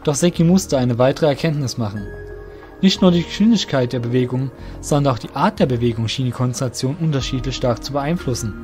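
A man reading scripted narration for an audio drama in a measured storytelling way. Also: medium pitch, darkish tone, soft background music.

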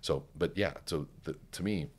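Man, very deep voice, resonant baritone, deliberate pauses, studio mic, podcast guest. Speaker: podcast guest, speaker coach. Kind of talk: conversational podcast interview. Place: podcast studio with curtained backdrop. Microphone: studio microphone on a stand, headphones on.

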